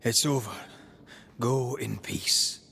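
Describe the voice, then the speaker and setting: An actor playing a stern, plain-spoken banisher of ghosts in a video game, blunt and commanding in manner. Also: male voice, mid-range voice, slow pace, vocal fry, pushed and bright, slight background noise, exaggerated voice. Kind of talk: dramatic video game acting.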